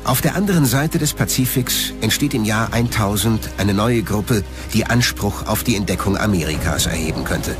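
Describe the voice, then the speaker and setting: A man's voice: lowish voice, lively intonation, normal speed, music in the background. A man narrating a documentary from a script.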